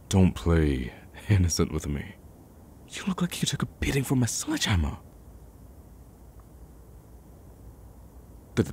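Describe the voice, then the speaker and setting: A man playing a betrayed, protective young male friend in an emotional audio roleplay, speaking haltingly with sighs and stammers. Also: a bass voice, a little mellow, slight background noise. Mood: shocked, concerned. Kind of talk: emotional ASMR roleplay acting.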